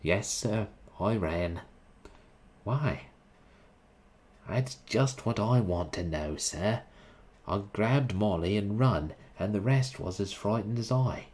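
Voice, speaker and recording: A man's voice: fairly low pitch, lively intonation, slow pace, a little mellow. A man voicing a blunt young Cockney servant who drops his aitches. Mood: uneasy. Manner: dropped aitches, rough working-class speech.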